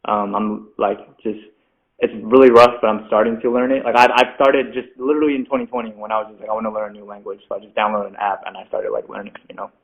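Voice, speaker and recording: A man's voice: fairly low pitch, fairly even intonation, fast talker. Casual male guest, loose and chatty, lots of filler words, lo-fi call audio. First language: Mandarin. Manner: chatty, says "like" constantly, self-deprecating laughs. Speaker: interview guest, rapper. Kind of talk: casual interview chat. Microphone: video call. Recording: phone-like video call audio.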